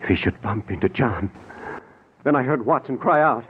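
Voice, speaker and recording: A man's voice: medium pitch, expressive, normal speed, close to an everyday voice. An actor playing an anxious, conscience-stricken man in 1940s radio drama style, earnest and dramatic. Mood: tense narration. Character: anxious, conscience-stricken man narrating his friend's crime. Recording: muffled, noisy 1940s radio recording.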